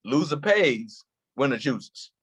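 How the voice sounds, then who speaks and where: Brief: actor as easygoing cop, husky voice, dry close sound. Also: male voice, mid-range voice, very animated, takes it slowly, no room sound, heavily acted. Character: male police officer, friend, laid-back.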